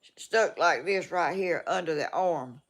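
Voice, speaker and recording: A woman's voice: lowish voice, unhurried. A woman in her eighties with a Georgia Southern accent, chatting in a rambling way, trailing off and restarting as she reads comments to her viewers. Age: 80s. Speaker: vlogger reading viewer comments. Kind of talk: casual rambling chat.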